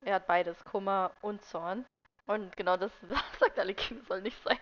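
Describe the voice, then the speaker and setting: A woman's voice: mid-range voice, moderate pace, a bit bright, low-quality recording. A German-speaking woman giving casual commentary as she plays through a story game. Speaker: gamer reacting to story scenes. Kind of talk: casual let's play commentary.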